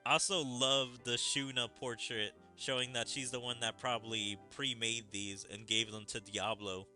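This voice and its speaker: A man's voice: mid-range voice, flat delivery, normal speed, bright, forceful tone, soft background music. A man giving casual, rambling, chatty commentary on a game's story. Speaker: gaming streamer.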